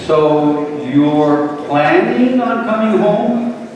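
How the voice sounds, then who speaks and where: A man's voice: high-pitched, animated, extremely slow, darkish tone, muffled recording, full character voice. A man playing a weary, wry old father in a dramatic reading of a parable, theatrical and emphatic in delivery. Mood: resigned, tender. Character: weary, wry, long-suffering old father of a rebellious son. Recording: roomy echoing hall, distant microphone.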